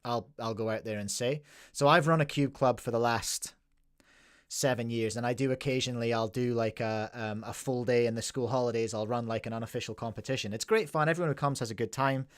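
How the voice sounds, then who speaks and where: A man's voice: medium pitch, a little fast, no echo, studio quality. A man from Scotland with a Scottish accent, hosting a podcast and talking in a winding, chatty way with asides to listeners. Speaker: podcast host. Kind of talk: casual podcast chat.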